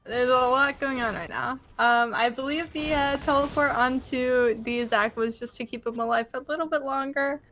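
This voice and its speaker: A woman's voice: sits high, steady tone, moderate pace, hardly any pauses, fairly bright tone, soft background music. A woman giving esports color commentary, hesitant and filler-heavy, breaking into giggles. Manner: hesitant, many ums and uhs, giggly.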